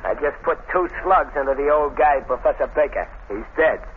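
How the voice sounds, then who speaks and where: A tough, threatening gangster, acted by a man talks in offhand slang with a fairly high voice. The 1930s radio crime recording is narrow and faintly hissy. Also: animated, fairly quick, natural voice. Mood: callous, offhand. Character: callous male gangster henchman, tough and threatening.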